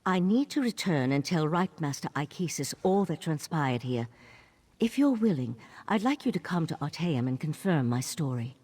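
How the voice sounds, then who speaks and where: An actress playing an earnest, composed mage in a video game, explaining things in a measured way. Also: female voice, deep voice, sing-song, a little mellow, husky, heavily acted. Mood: intent. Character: earnest, composed female mage of a scholarly order. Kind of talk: video game character dialogue.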